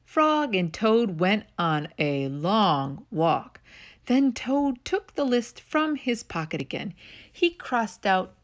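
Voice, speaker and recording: A woman reading a children's story aloud as narrator, in an expressive storytime style. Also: mid-range voice, slow. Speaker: storytime reader.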